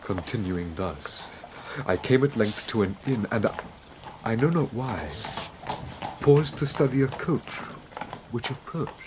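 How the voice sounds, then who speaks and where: A haunted young male scientist, played by an actor in a gothic audio drama. His delivery is intense and anguished, with fear underneath every phrase. The voice sits at a middling pitch, with clear noise around it. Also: lively intonation, natural voice. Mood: haunted dread. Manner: anguished, intense, halting when nervous.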